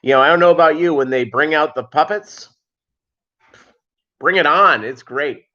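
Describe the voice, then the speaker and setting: A man hosting a casual live chat, rambling along with joking, deadpan asides. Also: fairly high pitch, normal speed. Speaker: host of a live fishkeeping chat show. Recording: dull lo-fi livestream audio.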